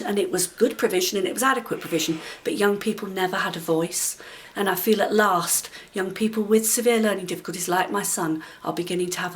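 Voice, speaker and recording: A middle-aged woman giving earnest interview testimony as a mother. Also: medium pitch. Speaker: mother of an adult son.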